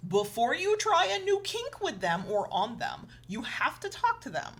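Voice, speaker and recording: A woman's voice: high-pitched, wildly swooping pitch, normal speed, a bit bright. A woman co-hosting an educational show, giving conversational advice in an explanatory way with emphasis on key points. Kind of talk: conversational advice talk with a co-host.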